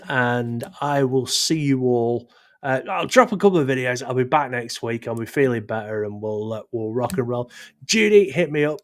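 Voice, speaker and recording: Male stream host, sore throat, rambling and self-deprecating, mid pitch. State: sore throat, losing his voice. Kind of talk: casual chat reading live chat.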